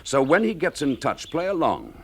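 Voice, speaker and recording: A stern chief inspector in a British radio drama. His clipped, commanding delivery swings widely in pitch, with the weary authority of a dutiful officer. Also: wildly swooping pitch, normal speed, fairly bright tone, slightly rough, exaggerated voice. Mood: stern, angry. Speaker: actor.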